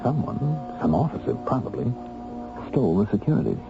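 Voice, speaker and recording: A deep-voiced, embittered ex-convict husband in a radio play. He speaks earnestly and methodically, set on clearing himself. The recording is dulled and old, with music in it. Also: wildly swooping pitch, a little fast, lo-fi sound, exaggerated voice. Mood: earnest explaining. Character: bitter, determined wronged bookkeeper, freed convict husband. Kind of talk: radio drama acting.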